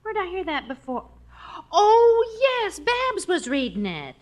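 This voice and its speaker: A woman's voice: very high voice, huge pitch swings, takes it slowly, exaggerated voice. A woman playing a sensible, exasperated middle-aged American wife and mother in a 1950 radio sitcom. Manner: exasperated, reasoning. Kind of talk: 1950s radio sitcom acting. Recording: vintage 1950 radio broadcast recording.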